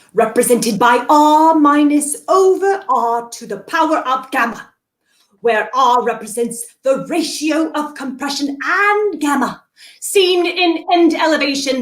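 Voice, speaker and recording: Frail, halting, slow-speaking dying woman. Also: sits high, reverberant. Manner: halting recitation with sighs and gasps. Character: dying music-hall memory woman, frail, earnest, dutiful. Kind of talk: 1930s radio play acting.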